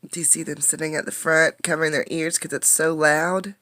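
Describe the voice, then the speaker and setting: A woman's voice: low voice, flat delivery, brisk, pushed and bright. A woman reading a children's picture book aloud as a teacher, expressively, explaining words and putting questions to the listeners. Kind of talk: interactive children's read-aloud.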